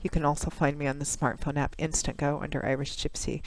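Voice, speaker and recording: A woman tarot reader, hoarse from a cold, talks through a monthly reading in a measured, conversational way with frequent pauses and fillers. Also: deep voice, flat delivery, slight background noise, low-quality recording. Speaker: tarot reader, channel host.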